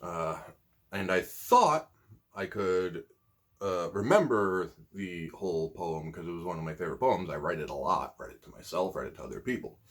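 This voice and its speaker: Male amateur spoken-word poet, fairly low husky voice, informal video-host delivery and recitation. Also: lively intonation, normal speed. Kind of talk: casual intro and dramatic spoken-word poetry reading.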